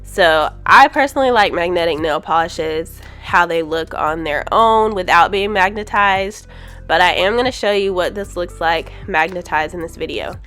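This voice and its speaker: A woman's voice: lowish voice, normal speed, fairly bright tone, soft background music. A woman with a stuffy, congested voice from a cold, casually reviewing nail polish with frequent ums and candid opinions. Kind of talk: casual product review voice-over.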